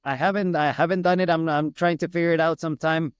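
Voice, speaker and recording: A man's voice: on the high side, fairly even intonation, fast talker, smooth, no echo, studio quality. A middle-aged man with an Ecuadorian Spanish accent, chatting as an interview guest and repeating phrases for emphasis. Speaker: podcast guest, hospital business owner. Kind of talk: casual interview storytelling.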